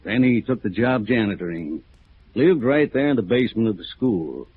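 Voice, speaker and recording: An actor playing an older small-town sheriff in a 1950s radio drama, husky and folksy, hesitant and quietly menacing. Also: male voice, lowish voice, animated. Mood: folksy, veiled warning. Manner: hesitant, folksy, drawn-out understatement. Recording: narrow, tinny old radio recording.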